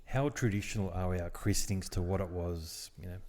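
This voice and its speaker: Deep, mellow male podcast co-host. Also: fairly even intonation, brisk, long reverb tail. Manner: chiming in, interjecting with questions. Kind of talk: casual chat.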